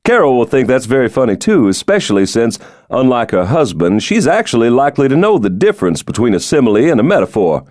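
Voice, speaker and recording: A man's voice: medium pitch, sing-song, quick pace, few pauses, darkish tone, no room sound, studio quality, voice pushed up, lightly acted. A man voicing a breezy, folksy middle-aged American President who jokes heartily, in an audiobook. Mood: jovial, teasing. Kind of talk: audiobook character dialogue.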